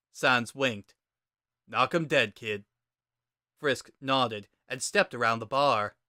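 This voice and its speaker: Male narrator for scripted fiction, mid-pitched, bright and crisp-edged, very dry close sound. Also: dry recording.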